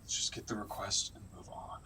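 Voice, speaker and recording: A man's voice: fairly quick, whispered, lightly acted. A man playing a tense, defensive, intense physician in a science fiction radio drama. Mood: agitated, self-questioning. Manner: intense, easily irritated. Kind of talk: sci-fi radio drama acting. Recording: slightly distant, music behind. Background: music.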